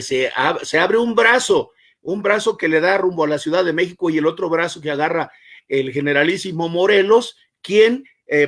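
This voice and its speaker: A man from Mexico's Guerrero coast, speaking as a chronicler on a virtual panel, pausing often on filler sounds as he talks. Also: a little high. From Mexico, Costa Chica of Guerrero. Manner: hesitant, many filler "eh" pauses. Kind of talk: formal virtual panel talk. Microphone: video call.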